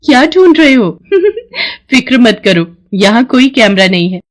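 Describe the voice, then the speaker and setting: A woman's voice: on the high side, animated, a little slow, voice pushed up. A woman voicing a sly, playful magical cash machine that talks, teasing and theatrical, in a children's moral story. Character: talking magical ATM machine, female, playful, sly and fickle. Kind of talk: animated moral story dialogue.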